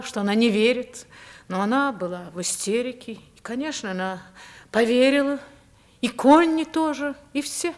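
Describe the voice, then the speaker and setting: A woman acting a young upper-class wife in a radio drama. Her voice sits fairly high, with careful stage diction. She speaks with secretive resolve and strong feeling. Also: close to an everyday voice.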